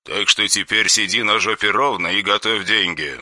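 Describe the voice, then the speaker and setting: Man playing a menacing caller, hushed, hard, dry, flat, no-nonsense. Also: fairly low pitch, normal speed, no echo. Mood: cold menace. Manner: flat, quiet, threatening.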